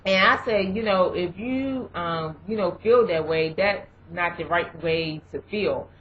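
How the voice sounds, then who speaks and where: Brief: young adult woman, lowish voice, rambling advice vlog, muffled lo-fi recording. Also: unhurried, a little hiss or hum. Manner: rambling, leans on "you know" and "um". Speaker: vlogger. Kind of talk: casual advice chat. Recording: dull lo-fi recording.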